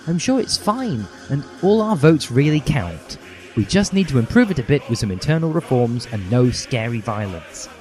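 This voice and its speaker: A man's voice: mid-range voice, sing-song, moderate pace, darkish tone, faint music behind, close to an everyday voice. A man voicing a smug, preachy, bookish teenage schoolgirl in a naggy, prim manner for a comic story.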